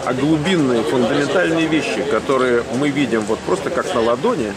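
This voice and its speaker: Man, middle-aged, fast, animated, rambling, loud crowd and music behind. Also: medium pitch. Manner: animated, quick, rambling with many fillers. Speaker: channel host living in the US. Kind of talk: casual conversation.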